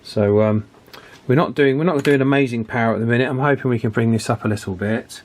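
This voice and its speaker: Male repair vlogger, mid-range voice. Casual running commentary, halting and thinking aloud while working. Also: mid-range voice, darkish tone. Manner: thinking aloud, hesitant pauses mid-phrase.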